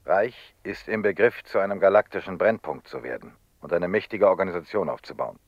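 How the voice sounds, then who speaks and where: Grave determined investigator, low voice, solemn radio drama speechifying, dry slightly dull sound. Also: male voice, brisk, no echo. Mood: urgent, ominous. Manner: solemn, rhetorical, insistent. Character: grave, determined man, telepath investigator urging drastic action. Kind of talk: German radio drama acting.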